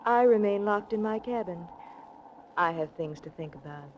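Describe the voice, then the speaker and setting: A woman's voice: medium pitch, normal speed, natural voice. An actress playing a cool, scheming mystery woman, poised, formal and coy, in 1940s radio drama style. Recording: muffled, noisy 1940s radio recording.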